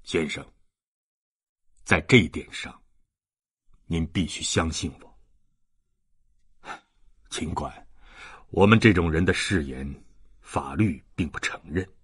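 A man voicing a sorrowful old ex-convict in an audiobook, solemn and halting, with a husky voice. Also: rumbling low, dry recording, clean studio sound, voice pushed down, full character voice. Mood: solemn, firm. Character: sorrowful old male ex-convict, grave, confessing.

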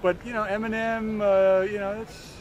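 A man's voice: sits high, level tone, normal speed, dull audio. Hesitant man, "you know" fillers. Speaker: festival-goer. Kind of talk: casual interview. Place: outdoors at a music festival. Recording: crowd noise.

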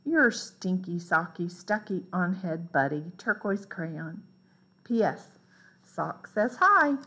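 A woman reading a children's picture book aloud in a deliberate, expressive way. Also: fairly low pitch. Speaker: read-aloud storyteller.

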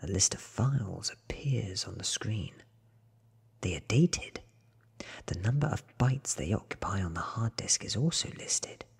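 A man in his thirties giving a soft, whispered ASMR reading of a story, breathy and deliberate, close to the microphone. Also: lowish voice, lively intonation. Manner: slow, hushed, deliberate. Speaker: ASMR book reader. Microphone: close microphone in front of him.

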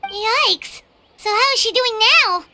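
A woman's voice: very high voice, slow pace, background noise. A woman voicing a small, chirpy companion who talks back bluntly, in a video game with music underneath. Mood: sassy. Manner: sassy, exclamatory.

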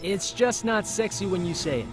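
A cocky young ninja trades glib taunts in a fighting game. His voice is high and swings freely up and down, with music underneath. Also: animated, moderate pace. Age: young adult. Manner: glib, wisecracking. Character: cocky young ninja warrior, wisecracking son. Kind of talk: video game fight banter.

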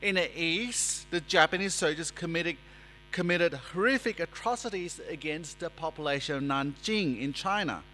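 A man in his forties preaching a sermon at a lectern in a church, speaking in a measured, emphatic way and gesturing as he talks. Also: a little high, expressive, bright, forceful tone. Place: church sanctuary, indoors. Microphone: lectern microphone. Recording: reverberant church hall with mains hum.

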